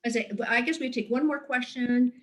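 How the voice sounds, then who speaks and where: A woman's voice: on the high side, steady tone, fairly quick. A woman moderating a webinar over a video call, steering toward the final question. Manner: organizing, steering to close.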